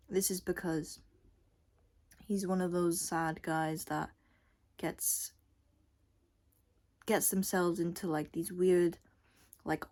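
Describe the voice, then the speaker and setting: A woman's voice: fairly low pitch, mellow. A young adult woman vlogger giving a casual, unscripted monologue in a rambling, blunt way with casual swearing. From Scotland and Thailand.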